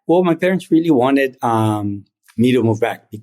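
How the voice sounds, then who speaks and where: A middle-aged man born in Argentina, a private equity founder telling his story in a measured, reflective way as an interview guest. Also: mid-range voice, lively intonation, darkish tone. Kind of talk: interview conversation.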